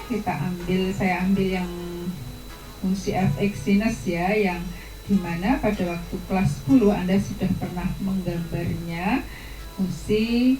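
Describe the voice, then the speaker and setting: A woman's voice: lowish voice, dark tone, roomy sound, poor audio. A woman explaining a math lesson to students step by step, in a patient teaching manner.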